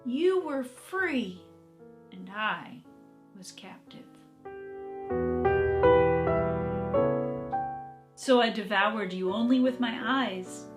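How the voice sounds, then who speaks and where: Slow, wistful storybook cat read by a woman. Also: on the high side, animated, loud music behind the voice. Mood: envious, wistful. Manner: dreamy, deliberate, dramatic storytelling. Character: longing, captive housecat narrating a children's storybook.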